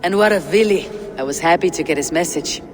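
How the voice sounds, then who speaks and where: An actress playing a steady, bold and wry Norse warrior leader in a video game, measured and plainspoken. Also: female voice, fairly low pitch, music in the background, lightly acted. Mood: teasing. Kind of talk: dramatic video game acting.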